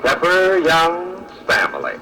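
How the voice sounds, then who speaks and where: A man's voice: fairly high pitch, huge pitch swings, slow, very noisy. A man announcing in a theatrical 1940s radio style.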